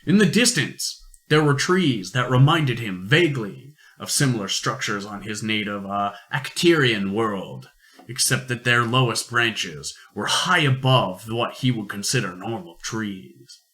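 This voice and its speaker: A man narrating an amateur science-fiction radio play in deliberate storytelling phrases. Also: lowish voice, pushed and bright.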